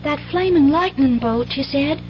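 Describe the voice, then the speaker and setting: A muffled radio drama with faint hum features a high-pitched, earnest teenage girl from the country, voiced by an actress. She sounds naive and hesitant. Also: normal speed, lightly acted. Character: dreamy, earnest sixteen-year-old country girl, childlike. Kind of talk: 1970s radio drama acting. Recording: dull 1970s radio broadcast with hum.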